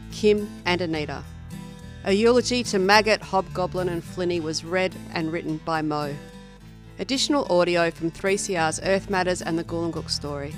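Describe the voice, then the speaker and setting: A woman with an Australian accent reading scripted credits as a podcast host. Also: lowish voice. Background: plucked guitar music.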